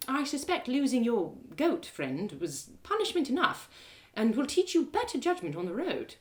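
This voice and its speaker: A woman's voice: high-pitched, wildly swooping pitch, normal speed. A woman voicing a genteel, authoritative noblewoman in a fantasy audio drama, speaking formally and with firm courtesy. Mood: stern, measured. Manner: formal, lofty, commanding.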